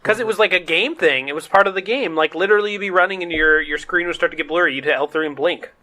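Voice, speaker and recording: A man's voice: high-pitched, fast, pushed and bright. A man co-hosting a podcast in casual conversation about games and films. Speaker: podcast co-host. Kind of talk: casual chat.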